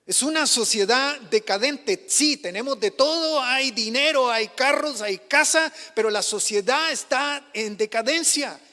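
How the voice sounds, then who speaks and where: A man from Chile, a pastor, preaching a sermon, pushing his voice up on questions and sinking it low to drive points home. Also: unhurried, few pauses, sharp tone, long reverb tail. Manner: passionate preaching, rising on questions and dropping low for emphasis. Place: church.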